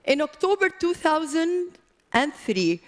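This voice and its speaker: A middle-aged woman with a Lebanese accent delivers a prepared speech on stage in a large hall. She speaks slowly and deliberately, setting short phrases apart with pauses for emphasis. Long reverberation trails her voice. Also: sits high, very animated. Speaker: public speaker, marathon organizer.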